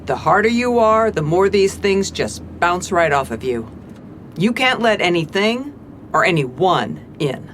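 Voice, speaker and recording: A woman's voice: on the low side, sing-song, unhurried, fairly bright tone, slight background noise. A woman playing a tough, seasoned police commissioner in a noir audio drama, terse, world-weary and commanding. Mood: stern, commanding. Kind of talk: noir scripted narration and drama.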